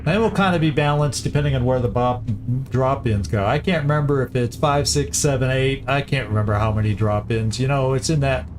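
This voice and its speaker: A man's voice: medium pitch, brisk, hardly any pauses, background noise. Man musing through game moves. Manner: thinking aloud, hesitant restarts while planning moves. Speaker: gameplay commentator. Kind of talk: casual let's-play commentary. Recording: game music playing behind.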